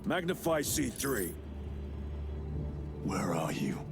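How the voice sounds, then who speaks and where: A stern veteran soldier in a sci-fi game. His mid-pitched voice is blunt and commanding, in short clipped phrases, with loud music behind him. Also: sing-song, slow. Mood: determined, urgent. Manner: terse, commanding. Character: stern, blunt veteran male space-marine lieutenant. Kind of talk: cinematic video game acting.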